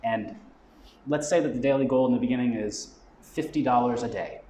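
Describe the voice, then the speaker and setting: A mid-pitched man talks through his ideas in a relaxed, explanatory way, tagging his points with "right?". He sounds like a guest expert at a live event. The sound is roomy, with a faint hum of ambience behind him. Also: brisk. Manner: conversational, explanatory, checks in with frequent "right?". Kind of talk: live talk explaining business goal setting.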